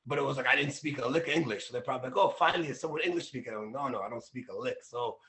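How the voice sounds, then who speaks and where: A man's voice: medium pitch, racing, a bit bright. A young man from Russia, a crypto public speaker, chatting casually as a panel guest and rambling with lots of fillers.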